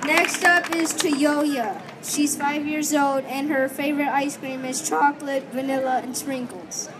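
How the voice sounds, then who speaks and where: A woman acting as emcee, announcing each performer slowly and clearly at an outdoor stage. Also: high voice, fairly even intonation. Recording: phone video with loud crowd and street noise.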